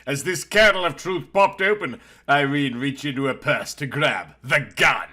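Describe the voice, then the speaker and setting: A man's voice: medium pitch, moderate pace, pushed and bright. A man reading a story aloud as narrator, in a dramatic scripted storytelling style. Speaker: narrator reading a novel chapter.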